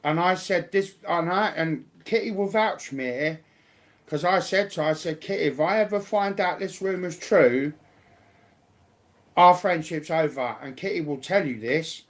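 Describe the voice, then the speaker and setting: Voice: medium pitch, normal speed. A speaker in informal, casual chat, loose and sweary, often repeating phrases.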